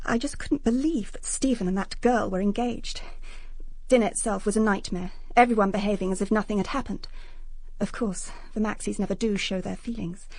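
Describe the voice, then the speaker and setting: A woman's voice: medium pitch, fairly quick, darkish tone, no room sound, close to an everyday voice, low-quality recording. An actress with a British accent playing a wounded, self-justifying young woman confessing in a radio drama. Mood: hurt, confessional. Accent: British English. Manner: earnest, confessional.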